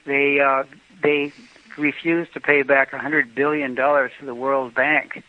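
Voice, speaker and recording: A man's voice: medium pitch, level tone, a little slow. An older American man telling travel stories in a casual interview, rambling with many fillers and restarts. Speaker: travel guest. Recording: dull lo-fi podcast audio.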